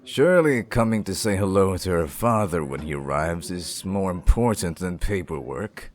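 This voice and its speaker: A man playing a cold, disapproving middle-aged father who speaks formally and deliberately in an audio drama. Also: low-pitched, animated. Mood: cold, disdainful.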